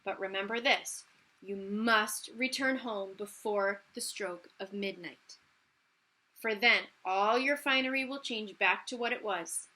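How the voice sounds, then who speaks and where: A woman voicing a kindly, brisk little fairy godmother in a storybook, giving emphatic instructions with drawn-out words. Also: mid-range voice, bright, forceful tone, poor audio. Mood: cheerful, brisk.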